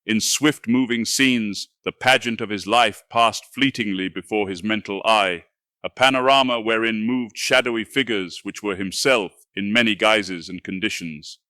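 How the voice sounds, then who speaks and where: Male audiobook narrator, lowish and forceful, theatrical, measured delivery, dry recording. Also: no echo. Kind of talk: dramatic scripted audiobook narration.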